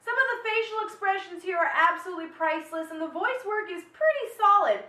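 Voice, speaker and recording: A woman delivers chatty, enthusiastic vlog commentary. Her voice is extremely high and bright. It sounds recorded in an echoey room, a little way from the microphone. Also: animated, a little fast. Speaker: animation vlog host reviewing short films. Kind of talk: upbeat vlog review commentary. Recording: echoey room, distant from mic.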